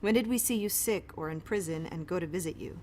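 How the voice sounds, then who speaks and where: Chatty, flustered, good-hearted doctor, played by an actress. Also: female voice, fairly low pitch, a little fast, a little mellow, a little hiss or hum, natural voice. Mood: explaining eagerly. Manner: chatty, awkward, self-interrupting. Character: friendly, flustered, apologetic woman pediatrician who shelters fugitives. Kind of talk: naturalistic audio drama dialogue.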